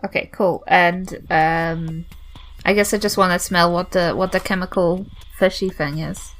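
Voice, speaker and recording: A woman's voice: on the low side, steady tone, a little slow. A woman with a New Zealand accent chatting casually and playfully as a player in a tabletop game. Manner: playful, informal.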